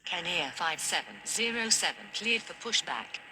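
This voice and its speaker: A woman's voice: fairly low pitch, lively intonation, moderate pace, bright, forceful tone. A woman speaking scripted, formulaic air traffic radio phraseology. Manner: formulaic callouts.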